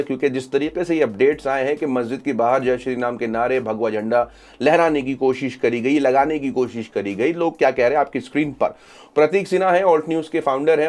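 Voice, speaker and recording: A man's voice: a little high, darkish tone. A man hosting social media news commentary, reporting events and reading out reactions.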